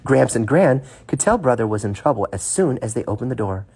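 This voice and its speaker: A man's voice: medium pitch, animated, a little fast, darkish tone, slightly rough. A man reading a children's story aloud, with informal, playful asides to a young listener.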